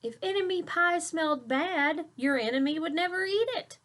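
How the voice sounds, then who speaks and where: A woman's voice: very high voice, animated, moderate pace, a bit bright, voice pushed up. A woman voicing a clever, secretive dad in a children's storybook, speaking emphatically and knowingly.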